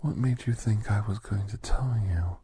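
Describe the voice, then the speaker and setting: A man playing a genial older doctor with a secret past, telling folksy ASMR stories in an unhurried, breathy, gravelly half-whisper. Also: fairly low pitch, warm tone, no room sound. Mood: confiding, reminiscing. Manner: unhurried, folksy, halting, conversational. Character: genial old doctor, a retired secret government scientist, reminiscing. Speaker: storytelling podcast host in character. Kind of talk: ASMR storytelling, soft-spoken roleplay.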